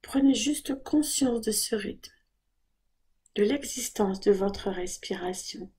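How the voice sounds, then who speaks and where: A woman leading a guided body scan meditation in a soft, breathy voice, giving calm, gentle, unhurried instructions. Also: medium pitch.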